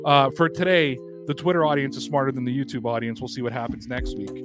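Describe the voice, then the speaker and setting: A man's voice: medium pitch, fast. A man in his thirties hosting a casual sports podcast, talking with energy and running through plugs. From Canada. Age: 30s. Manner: energetic, plugs and hype asides. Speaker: podcast host. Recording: music playing behind. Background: music.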